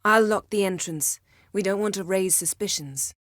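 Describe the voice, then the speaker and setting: An actress voicing a proud, sharp-tongued sorceress in a fantasy video game, with a crisp, imperious, dryly sardonic delivery. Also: female voice, mid-range voice, moderate pace, dry recording, studio quality, lightly acted. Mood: composed, dry.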